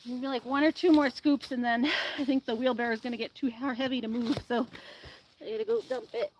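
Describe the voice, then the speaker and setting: A woman's voice: on the high side, fairly even intonation, a little fast, a little hiss or hum, poor audio. A woman who sounds sniffly from allergies, chatting in a rambling way to a live audience while she shovels compost outdoors. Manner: rambling, says "like" often. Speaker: animal channel host. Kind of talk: casual chat to a live audience while gardening. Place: outdoors in a farmyard garden.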